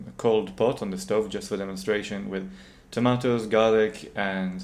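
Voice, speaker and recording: A man's voice: on the low side, fairly even intonation, moderate pace, a little mellow. A young man who grew up in Israel, a company co-founder, answering interview questions hesitantly, often repeating words.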